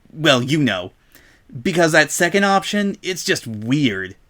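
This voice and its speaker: A man's voice: on the high side, fairly bright tone. A man voicing a brash female pegasus pony who rambles on with feigned cluelessness.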